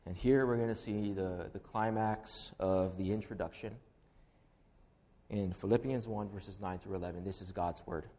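A middle-aged man from Hawaii with a Hawaiian accent, preaching a sermon as pastor in an earnest, measured way. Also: low voice, steady tone, warm tone. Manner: earnest, measured preaching with a slow, deliberate prayer.

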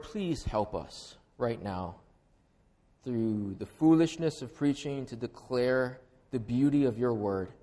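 A middle-aged man from Hawaii with a Hawaiian accent, preaching a sermon as pastor in an earnest, measured way. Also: medium pitch, darkish tone. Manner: earnest, measured preaching with a slow, deliberate prayer.

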